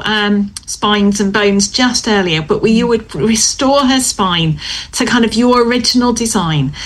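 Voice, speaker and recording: A woman leading a spoken prayer in a steady, earnest, measured way. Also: on the high side, poor audio. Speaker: prayer leader.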